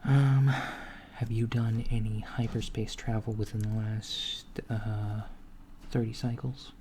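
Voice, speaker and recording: A man playing an alien doctor, softspoken, half-whispered, very slow, noisy close-mic recording. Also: on the low side, flat delivery. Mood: calm clinical. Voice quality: soft, hushed, partly whispered. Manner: unhurried, hesitant, with many fillers and murmured acknowledgments. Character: calm alien doctor examining a human patient. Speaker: ASMR roleplay performer. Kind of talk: softspoken ASMR roleplay.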